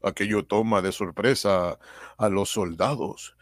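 Slow, low-voiced man narrating. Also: fairly even intonation, no room sound, studio quality. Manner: measured storytelling. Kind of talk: radio drama reading narration.

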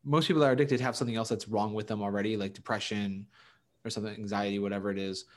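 A man's voice: on the low side, steady tone, racing. A man in casual, opinionated podcast conversation, talking emphatically and rambling through his explanations as a co-host.

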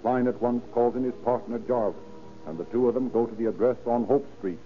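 An actor narrating a 1930s radio police drama in a measured, dramatic, solemn way. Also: male voice, medium pitch, close to an everyday voice. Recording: muffled old radio recording, music behind.

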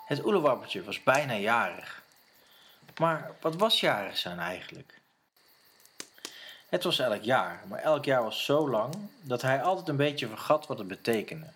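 A man's voice: mid-range voice, a bit bright, a little hiss or hum. A man narrating a scripted musical audio story for children.

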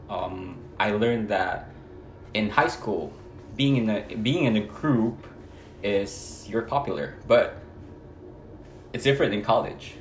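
A man's voice: lowish voice, reverberant, a little hiss or hum, rough recording. A man from the Philippines with a Filipino accent, speaking hesitantly with many fillers as an interview guest. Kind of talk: casual personal conversation.

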